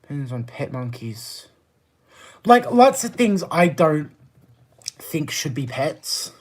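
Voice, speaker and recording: A man's voice: a little high, sing-song, slow. A man with an Australian accent chatting casually on a livestream, answering viewer comments in a blunt, exasperated, emphatic way. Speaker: live streamer.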